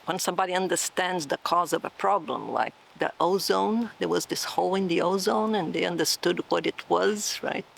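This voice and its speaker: A woman's voice: on the low side, gravelly, a little hiss or hum, poor audio. A woman in her sixties from Brazil, with a Brazilian Portuguese accent, thoughtfully answering interview questions as a professor and often checking in with "right?". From southern Brazil. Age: 60s. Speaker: cell biology professor. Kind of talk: oral history interview answers.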